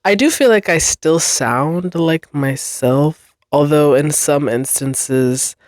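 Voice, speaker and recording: A podcast host recovering from a cold, sounding a bit nasal and congested, rambling chattily in a basement with frequent laughs. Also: male voice, a little high, slow pace, no room sound, clean studio sound. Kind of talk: casual solo podcast chat. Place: basement room.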